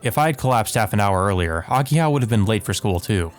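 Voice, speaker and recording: A man's voice: on the low side, expressive, quick pace. A man giving casual commentary and reading visual novel text aloud as a let's play host, with game music behind him. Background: video game music.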